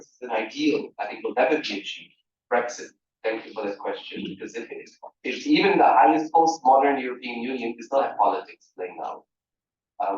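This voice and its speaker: A man from Portugal speaking as a panelist in a conversational discussion, animated, restarting phrases and often asking "right?". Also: mid-range voice, slight background noise. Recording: roomy, distant, dull hall mic.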